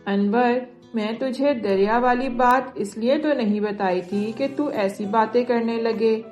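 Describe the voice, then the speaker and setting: A woman's voice: a little high, unhurried, a little mellow, natural voice. A woman voicing a loving, hurt foster mother, a fisherman's wife in a storybook tale, pleading and emotional. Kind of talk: acted dialogue in an Urdu moral storybook tale. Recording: roomy echo, music underneath.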